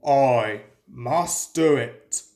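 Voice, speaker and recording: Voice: mid-range voice, very slow, darkish tone, pitched below the performer's own voice. A storyteller voicing a proud, determined male steam engine in a children's story, with emphatic, effortful delivery. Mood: straining, determined.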